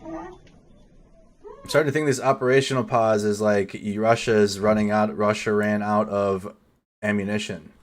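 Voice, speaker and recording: A man's voice: fairly low pitch, big pitch swings, unhurried, pauses often, a little hiss or hum. A man giving casual live commentary over war footage as a news streamer, swearing and stumbling over foreign names.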